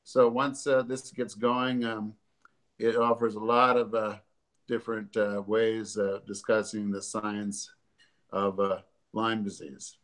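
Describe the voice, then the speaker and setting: A man, hosting a live stream as a doctor from his living room, a bit congested, pausing often with ums and uhs. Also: fairly low pitch, little pitch movement, fairly bright tone. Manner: halting, many ums and uhs. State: congested from allergies. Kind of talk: casual educational talk. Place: living room at home, sitting on a sofa. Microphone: webcam or laptop camera at desk distance.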